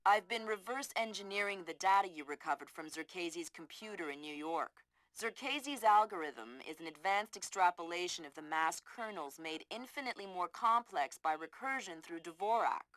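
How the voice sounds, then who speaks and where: An actress playing a brisk, brainy technical analyst, giving a precise briefing in a video game. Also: female voice, on the low side, animated, fairly bright tone, dry recording, low-quality recording. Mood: focused, matter-of-fact.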